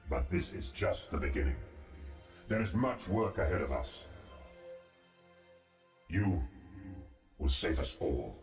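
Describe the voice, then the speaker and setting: An actor playing a calm, solemn ancient god in a fantasy game, speaking in a formal, measured and imperious way. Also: male voice, extremely low pitch. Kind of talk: scripted fantasy game dialogue. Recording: roomy, distant, music behind.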